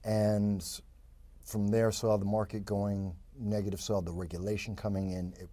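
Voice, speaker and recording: A man's voice: lowish voice, little pitch movement, moderate pace, warm tone, no echo. A man, chatting as an interview guest and rambling with many fillers and restarts. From the Bronx, New York. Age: 50s. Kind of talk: casual interview talk.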